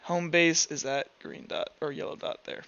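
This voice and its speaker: Male game master, clean smooth voice on the high side, halting improvised narration, slightly muffled sound. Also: big pitch swings, fairly bright tone. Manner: halting, thinks aloud while narrating. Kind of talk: improvised narration and table talk in a tabletop roleplay session.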